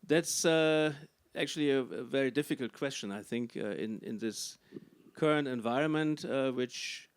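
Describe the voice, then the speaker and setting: Man, panelist, mid-pitched voice, hesitant, halting delivery. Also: darkish tone, clear, resonant tone. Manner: hesitant, many fillers and restarts. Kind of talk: panel discussion answer.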